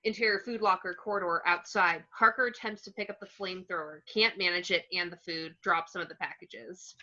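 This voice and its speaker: A woman's voice: on the low side, fairly even intonation, brisk, sharp tone. A woman narrating stage directions from a screenplay in short clipped phrases, on a lo-fi recording. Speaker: narrator reading action descriptions.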